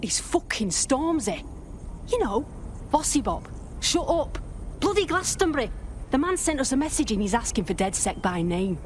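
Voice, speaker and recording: An excitable woman hacker from a game. Her pitch swings widely as she gushes in sweary slang. There is faint noise behind her. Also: normal speed. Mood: excited, urgent. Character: excitable, foul-mouthed female hacker recruiter. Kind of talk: scripted video game dialogue.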